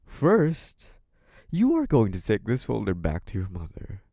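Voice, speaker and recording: A man's voice: fairly low pitch, wildly swooping pitch, measured pace, mellow, no room sound, studio quality, exaggerated voice. A man playing a smug, menacing, possessive young mafia boss in an intimate audio roleplay, taunting in a theatrical, mock-sweet way. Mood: commanding. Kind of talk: scripted audio roleplay, intimate monologue to one listener.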